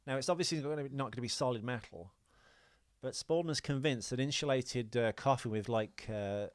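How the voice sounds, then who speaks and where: A man's voice: medium pitch, brisk, dry recording. Rambling English man, dry close sound. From England. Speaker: podcast co-host. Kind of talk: casual film trivia chat.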